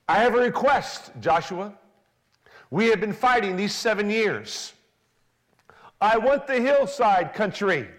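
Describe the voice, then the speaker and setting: Pastor preaching with big dynamic swings. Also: male voice. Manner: dramatic swings between soft low emphasis and raised excited delivery; acts out a biblical speaker in first person. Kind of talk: sermon.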